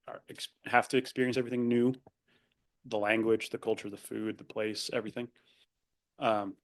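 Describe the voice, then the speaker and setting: A young man whose first language is Spanish, raised in Argentina, talking thoughtfully as a podcast guest with many fillers and restarts. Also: mid-range voice. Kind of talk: casual interview conversation.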